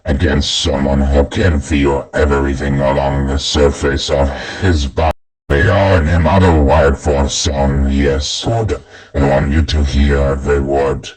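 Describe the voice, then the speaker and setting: Raspy, extremely deep symbiote supervillain. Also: male voice, flat delivery, darkish tone, exaggerated voice. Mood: menacing, taunting. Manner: menacing, drawn-out, taunting. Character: gloating, murderous symbiote-clad supervillain. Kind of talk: comic dub voice acting.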